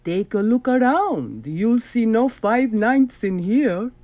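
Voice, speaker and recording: A woman voicing a sly, smug male villain doctor in a children's storybook, with an oily, drawn-out manner. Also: a little high, big pitch swings, mellow. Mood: purring, smug. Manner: oily, drawn-out delivery, sputtering when flustered.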